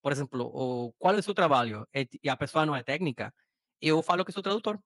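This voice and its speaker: A man from Costa Rica speaking in a relaxed interview. His voice sits fairly high, and he talks haltingly, restarting words often. The sound is dry and close. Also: dry recording. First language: Spanish. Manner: halting, many restarts and fillers. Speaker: guest. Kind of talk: casual interview chat.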